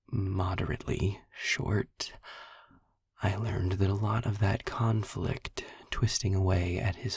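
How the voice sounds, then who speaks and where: A man playing a sardonic, darkly amused demon in an intimate audio roleplay, telling his tale in a breathy, gravelly near-whisper with wordy, deliberate phrasing and dramatic pauses. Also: low-pitched, dry recording, clean studio sound, full character voice. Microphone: close microphone in a dry, quiet space.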